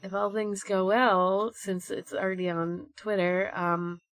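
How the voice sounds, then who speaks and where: Woman, podcast co-host, casual talk, slightly slow pace, very dry sound. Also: medium pitch, little pitch movement, no room sound. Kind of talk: casual chat.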